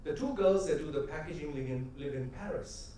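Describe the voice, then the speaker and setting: Animated man on a conference stage. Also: fairly high pitch, brisk, slight background noise. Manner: animated storytelling, gestures, rhetorical questions. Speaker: conference speaker. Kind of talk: stage keynote talk with anecdotes. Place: large conference hall stage. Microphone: headset mic. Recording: echoey hall, heard from a distance.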